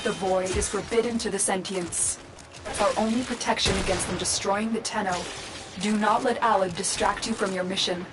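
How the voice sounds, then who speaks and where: An actress playing a solemn, protective guide and commander in a video game, composed and earnest. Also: female voice, mid-range voice, fairly even intonation, normal speed, music in the background, lightly acted. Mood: solemn, resolute.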